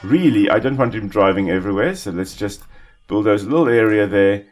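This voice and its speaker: A man, an Afrikaans speaker, calmly explaining ecology while playing a simulation game, with small restarts. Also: on the low side, fairly quick, soft background music. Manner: explanatory, calm, with small self-corrections. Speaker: ecologist gaming host. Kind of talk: educational let's-play commentary.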